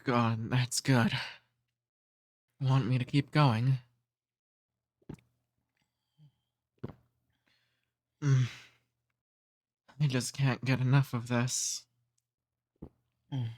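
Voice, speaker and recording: Guy doing a flirty ancient vampire for asmr, real slow and teasing, kinda bossy but courtly, super dry close sound. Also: medium pitch, flat delivery, a little mellow, dry recording, natural voice. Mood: hungry, savoring. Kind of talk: intimate ASMR roleplay.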